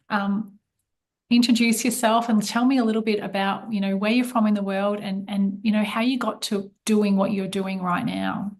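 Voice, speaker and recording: A woman hosting a live online chat, eager and upbeat, with lots of little "um"s and restarts. She talks at a medium pitch and sounds like she's on a video call. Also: gravelly. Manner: enthusiastic, warm welcoming patter with fillers. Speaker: host, money coach.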